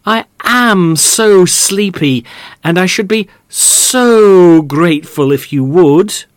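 A man's voice: sits high, slow, no echo, studio quality, pitched above the performer's own voice. A man voicing a drowsy, polite, well-bred young woman calling out a plaintive request. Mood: sleepy polite.

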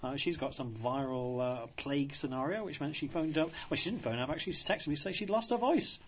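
A slightly higher-pitched man hosts a radio show with cheerful, meandering patter and a faint hiss of noise behind him. He jokes, trails off, and scatters "of course" through everything. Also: expressive, fast talker. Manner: jovial, rambling, fond of "of course". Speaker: radio host. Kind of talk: casual radio chat.

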